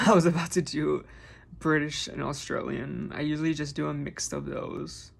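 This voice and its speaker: A man chatting casually while doing his makeup, rambling through his thoughts with a touch of vocal fry. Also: on the high side, steady tone, moderate pace. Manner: rambling, musing train of thought. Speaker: makeup vlogger. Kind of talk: casual chat during a makeup tutorial.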